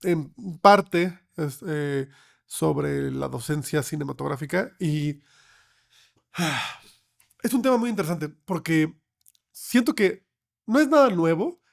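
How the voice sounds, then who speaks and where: Higher-voiced Mexican man, creaky and slightly hoarse. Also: lively intonation, slow, long pauses. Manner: checks in with frequent tag questions. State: slightly hoarse from getting over a cold. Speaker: podcast guest, film researcher. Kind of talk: conversational explanation.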